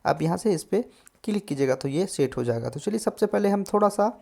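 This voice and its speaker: A man hosting a casual tutorial on music software, explaining step by step and often adding short check-in tags. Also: sits high, animated, fairly quick, creaky. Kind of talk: casual screen-recorded tutorial.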